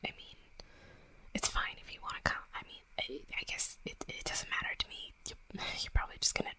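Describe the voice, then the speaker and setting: A woman's voice: a little fast, bright, forceful tone, in a whisper, natural voice. A woman voicing a tough young female werewolf bully in an intimate roleplay audio drama, brash and sweary but grudgingly tender. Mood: flustered, hushed.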